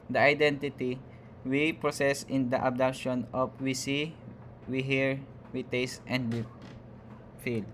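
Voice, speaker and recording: A young man answers haltingly and restarts his words. His pitch stays fairly level, with a faint hiss behind him. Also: fairly bright tone. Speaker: student panelist. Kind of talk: prepared answer.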